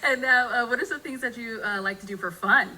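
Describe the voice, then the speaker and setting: A woman hosting a dating show, keeping things moving in a brisk way. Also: a little high, pushed and bright, slight background noise, rough recording. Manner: brisk, quick to move things along.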